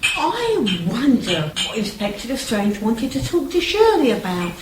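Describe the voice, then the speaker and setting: A woman's voice: a little high, sing-song, measured pace. A British woman playing a protective, plain-spoken friend in a radio drama, with a prim, direct manner. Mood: concerned. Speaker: actor in amateur radio play. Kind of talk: scripted radio drama dialogue. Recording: echoey room with clear background noise.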